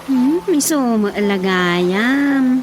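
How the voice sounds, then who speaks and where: High-voiced, questioning village wife. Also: female voice, animated, very slow, background noise. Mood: questioning, homely. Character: councilman's wife, homely and questioning. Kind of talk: Ilocano radio drama comedy acting.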